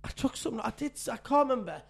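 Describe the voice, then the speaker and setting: A man's voice: lively intonation, rapid-fire, creaky, breathy edge. A man, a podcast co-host telling a parenting story in casual chat, often laughing. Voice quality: says he has a deep voice.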